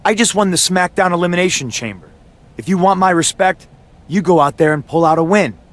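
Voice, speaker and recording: A man's voice: sits high, fairly quick, natural voice. A man playing a brash, disrespectful younger pro wrestler in a video game, mouthy and scornful. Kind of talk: scripted video game cutscene acting.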